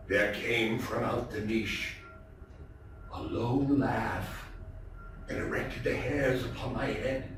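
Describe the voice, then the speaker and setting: A man giving a theatrical reading of Gothic horror, deliberate and full of dramatic pauses. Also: lowish voice, far from the mic. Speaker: stage actor, storyteller. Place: theatre stage, live performance. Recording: echoey theatre from afar, faint noise.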